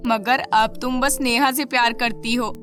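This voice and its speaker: Megha, woman voicing a bright, high-voiced young girl. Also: steady tone, a little slow, soft background music. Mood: aggrieved. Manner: earnest, pleading. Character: mistreated young girl, earnest, kind-hearted daughter. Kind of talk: animated children's moral story.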